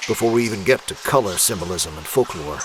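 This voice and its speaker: Very deep, brooding vigilante played by a man. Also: lively intonation, fast, noisy background. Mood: obsessive, intense. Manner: intense, urgent, dramatic delivery. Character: brooding, obsessive adult male masked vigilante, unraveling. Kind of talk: dramatic comic-book voice acting.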